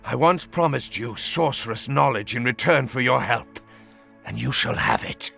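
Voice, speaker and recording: Menacing dark sorcerer, extremely gravelly, forceful, pompous and vengeful, theatrical video game dialogue. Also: male voice, mid-range voice, expressive, normal speed, soft background music, full character voice. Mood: triumphant, grandiose. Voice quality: gravelly, raspy. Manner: pompous, grandiose, commanding. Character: menacing, haughty exiled male sorcerer, vengeful and scheming.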